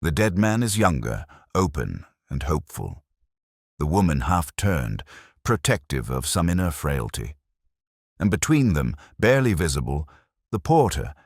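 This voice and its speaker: A man narrating a literary detective story in the first person, with a solemn, measured, brooding delivery. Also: very deep voice, very animated, no room sound, clean studio sound.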